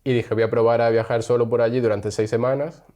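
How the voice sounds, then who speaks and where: A man's voice: mid-range voice, quick pace, highs cut off. Laid-back young Spanish man. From Spain. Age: young adult. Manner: relaxed, chatty, laughs often. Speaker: interview guest. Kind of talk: casual interview chat.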